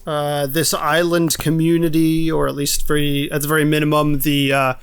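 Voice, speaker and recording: A man's voice: on the high side, completely flat delivery, moderate pace, vocal fry, smooth, no room sound. A man co-hosting a casual film podcast, talking with wry, dry asides. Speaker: podcast co-host.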